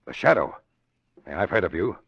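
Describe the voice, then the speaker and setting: An actor playing a gruff, impatient, blustering corrupt civic boss in an old-time radio drama. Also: male voice, low-pitched, big pitch swings, a little fast, fairly bright tone, exaggerated voice. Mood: wary. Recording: dull, noisy old radio recording.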